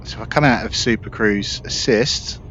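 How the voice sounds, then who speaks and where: Slightly dull, low-voiced man commentating gameplay. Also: moderate pace, a bit bright. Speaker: gaming video host. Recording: slightly dull audio, faint game noise.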